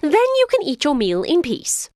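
A woman voicing a sly male jackal trickster with a fawning, chuckling, quick chatter in a storybook. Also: high-pitched, wildly swooping pitch, darkish tone, dry recording, clean studio sound, voice pushed up. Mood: sly flattering.

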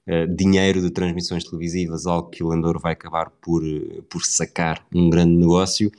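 Podcast co-host, male, European Portuguese accent, deep voice, conversational sports storyteller with a halting, think-aloud delivery. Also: level tone, a little mellow. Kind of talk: conversational football history storytelling.

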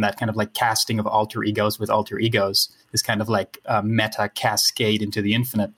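A man's voice: fairly low pitch, fairly bright tone. Man musing abstractly. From Netherlands. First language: Dutch. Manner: musing, abstract, many restarts. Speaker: podcast guest, writer. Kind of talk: casual podcast conversation.

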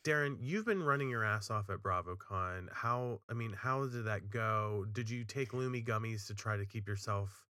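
A man's voice: fairly low pitch, moderate pace, few pauses, bright, forceful tone, dry recording. A man co-hosting a podcast from his home studio, telling stories in casual chat with dramatic mock outrage. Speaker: podcast co-host.

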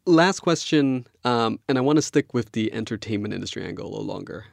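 A man's voice: medium pitch, lively intonation, fast talker, vocal fry, no room sound. A man hosting an interview podcast, speaking in a considered, conversational way. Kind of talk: conversational interviewing, scripted credits.

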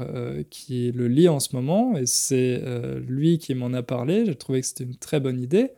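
A man hosting a podcast for French learners, speaking clearly and deliberately with frequent pauses. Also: medium pitch, warm tone. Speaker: podcast host and French teacher.

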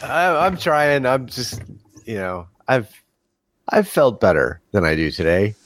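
A man's voice: medium pitch, big pitch swings, measured pace, a bit bright, dry recording, clean studio sound. A man chatting casually on a podcast while congested from a cold, rambling in a self-deprecating way. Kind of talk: casual chat.

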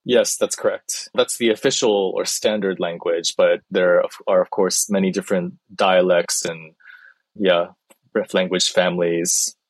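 A man's voice: low voice, fairly even intonation, unhurried, no room sound. A man born in China and raised in the US, speaking, answers interview questions as a translator, thoughtful and hedging, with frequent ums and restarts. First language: Chinese. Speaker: guest translator.

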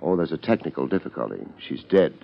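An actor playing a laid-back, wisecracking private detective in a 1950s radio drama, with a wry, deadpan manner. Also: male voice, very deep voice, fast talker, creaky, exaggerated voice. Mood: cool, needling. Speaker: lead actor and narrator. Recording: muffled old radio recording with music.

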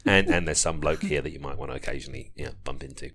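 A man's voice: very deep voice, fairly even intonation, racing, crowd noise. A man co-organising an event, talking about it in a conversational promo style.

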